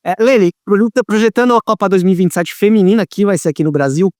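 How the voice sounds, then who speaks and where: A man hosting an interview talks quickly and casually, often restarting his phrases. His voice is slightly high. The recording is close and dry. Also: darkish tone, dry recording. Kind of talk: interview questions, casual chat.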